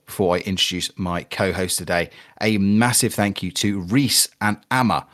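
Chatty podcast host, lowish voice. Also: male voice. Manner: chatty, upbeat, reads sponsor plugs. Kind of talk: casual podcast chat about a football video game.

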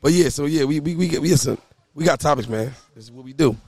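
A hoarse man hosting a casual talk show, often stammering and repeating his words as he speaks outdoors. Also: a little high, quick pace, a little mellow, no room sound. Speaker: podcast host and youth basketball coach. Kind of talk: casual chat. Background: faint background music.

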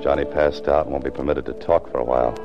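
Very deep-voiced hard-boiled radio detective. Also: male voice, fairly even intonation, quick pace, creaky. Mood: brooding. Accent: American. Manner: terse, self-questioning. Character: hard-boiled male author-detective, brooding, determined, narrating his case. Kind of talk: 1940s radio acting, noir narration. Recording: muffled 1940s radio recording, music behind.

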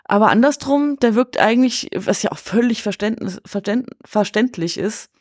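A woman's voice: on the high side, normal speed, a little mellow, husky, dry recording, clean studio sound. A woman speaking in a casual film discussion, retelling things colloquially and acting out reactions. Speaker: podcast guest discussing a film.

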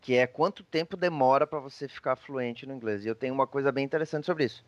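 A man's voice: medium pitch, fast talker, no echo, lo-fi sound. A man with a Brazilian accent telling personal anecdotes in a casual, slangy, rambling conversational way.

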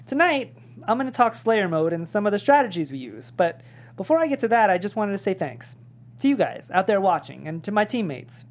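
A woman's voice: mid-range voice, very animated, brisk, a little mellow. A woman reading the part of a wry young male gamer and streamer at a comedy table read. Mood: upbeat streamer.

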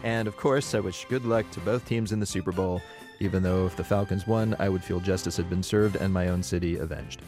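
A man's voice: low voice, fairly even intonation, fairly quick, a little mellow, soft background music. A man hosting a radio show, reading scripted links with dry humor. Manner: dry, joking. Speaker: radio host.

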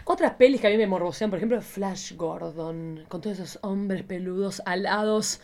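A woman's voice: medium pitch, moderate pace, darkish tone. Female podcast co-host, Argentine accent. Casual, campy, exclamatory, slangy and playful. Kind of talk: casual, playful chat about cult cinema.

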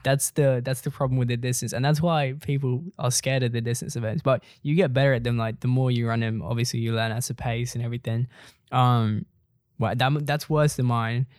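A man's voice: mid-range voice, a little fast, clean tone, no room sound, clean studio sound. A young man from Iceland, chatting casually about running and laughing as he tells stories. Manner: relaxed, laughs while telling stories. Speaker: co-host, runner. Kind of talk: casual chat.

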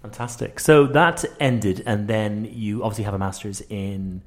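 A man's voice: on the low side, fairly even intonation, moderate pace, crowd noise. A man hosting a conversational radio interview in an informal, encouraging way.

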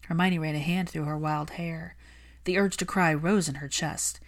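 A woman's voice: deep voice, fairly even intonation, moderate pace. A woman reading fiction aloud as an audiobook narrator, in a dramatic scripted style.

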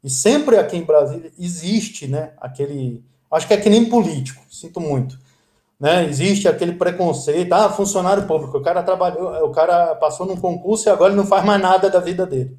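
A man in his fifties from Brazil, a guest telling stories in a relaxed, chatty way. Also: high voice, a little fast, roomy sound. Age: 50s. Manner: storytelling, lively and chatty. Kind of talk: casual interview chat.

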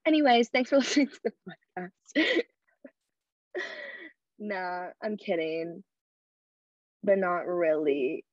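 A woman's voice: on the low side, slow, pauses often, dry recording, lo-fi sound. A young woman hosting her own podcast alone, speaking bluntly and candidly with crude humor. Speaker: podcast host. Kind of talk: casual solo podcast talk. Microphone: podcast microphone.

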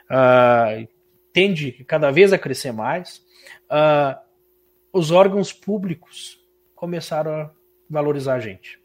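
A man with a southern Brazilian gaúcho accent, talking as an interview guest. His voice sits a little high for a man. He tells his story in a heartfelt, emotional way. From Santa Catarina, Brazil. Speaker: musician guest. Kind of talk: interview conversation.

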